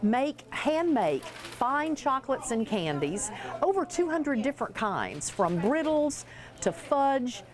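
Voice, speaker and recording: Lively, higher-voiced older woman. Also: takes it slowly. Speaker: candy shop owner. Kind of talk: interview answers.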